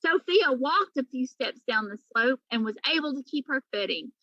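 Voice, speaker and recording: A woman reading a children's story aloud in an animated, emphatic way, like a teacher to pupils. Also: sits high, normal speed, no echo, lo-fi sound.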